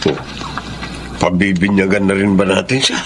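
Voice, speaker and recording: An actor playing a gentle, middle-aged Filipino husband and father in a radio drama, fond and expressive in manner. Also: deep voice, moderate pace, a little hiss or hum. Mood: fond, easygoing.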